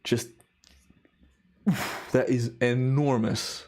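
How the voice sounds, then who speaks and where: Male streamer, medium pitch, loose casual commentary, easily awed, voice wearing thin. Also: steady tone, extremely slow, darkish tone. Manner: enthusiastic, awed exclamations. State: voice tiring from a day of recording. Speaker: live stream host, timelapse photographer.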